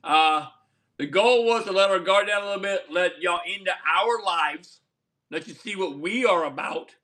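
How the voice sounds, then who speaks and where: A man's voice: sits high, normal speed, bright, forceful tone. A man hosting a casual livestream chat, rambling and repeating himself as he wraps up. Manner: rambling, repeats words, laughs often. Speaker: livestream host.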